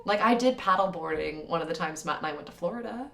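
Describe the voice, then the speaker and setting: A raspy, fairly low woman talking in a relaxed, chatty way, laughing easily as she tells stories. Her voice carries a roomy echo, and the mic sits a little away from her. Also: quick pace, far from the mic. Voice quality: raspy, naturally deeper voice. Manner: chatty, laughs often, animated retelling. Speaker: podcast host. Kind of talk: casual chat.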